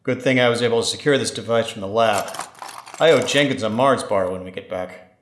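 An actor playing an eager, determined government agent in an audio drama, urgent and businesslike. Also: male voice, lowish voice, brisk, a bit bright, slight background noise, natural voice. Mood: confiding. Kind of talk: scripted audio drama acting.

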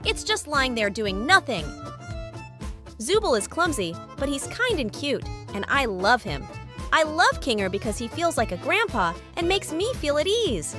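A woman voicing a timid young female clown in a fan comic dub, high and flustered. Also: very animated, moderate pace, fairly bright tone, soft background music. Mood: dreamy.

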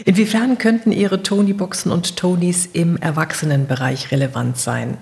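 A woman's voice: lowish voice, steady tone, moderate pace, creaky, a little mellow. A woman hosting a podcast, speaking in a conversational interviewing style.

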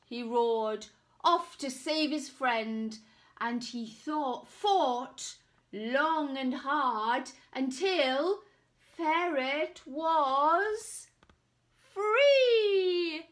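Woman, forties, children's storyteller: high, very unhurried, expressive delivery with confiding asides. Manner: dramatic pauses, hushed asides to the children. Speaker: author reading her picture book aloud. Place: small room at home, indoors. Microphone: camera or phone at about arm's length.

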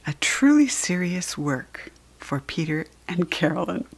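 Breathy American woman reading slowly to children. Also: lowish voice, low-quality recording. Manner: expressive, addressing small children. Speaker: children's book reader. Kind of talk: read-aloud storytelling for young children.

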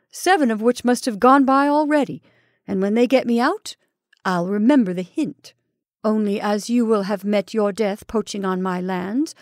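A woman's voice: on the high side, no room sound, clean studio sound, close to an everyday voice. A woman reading aloud, voicing a proud, fierce man trapped under a fallen tree, speaking in a raised, indignant way. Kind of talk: storybook reading.

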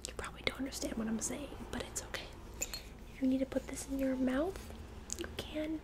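A young woman in an ASMR roleplay as a childcare worker, talking gently and simply to a small child with lots of questions. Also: sits high, a little fast. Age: young adult. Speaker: ASMR creator playing a preschool childcare worker.

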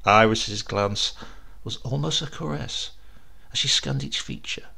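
A man narrating a horror story in a theatrical, expressive way, with deliberate drawn-out pauses. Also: on the low side, vocal fry, husky. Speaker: audiobook narrator.

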